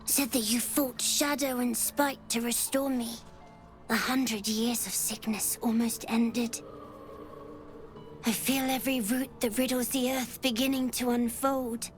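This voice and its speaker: A woman's voice: fairly high pitch, steady tone, measured pace, soft background music, close to an everyday voice. A woman voicing a lonely, wistful child spirit, solemn and stubborn, in a fantasy video game. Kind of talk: video game character dialogue.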